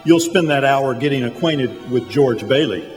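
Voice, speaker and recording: A mid-pitched voice in a reverberant hall, music faint underneath, gives us a man acting a senior angel in a radio play. He is calm, assured and instructive. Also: normal speed, natural voice. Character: calm, authoritative male chief angel, instructing apprentice.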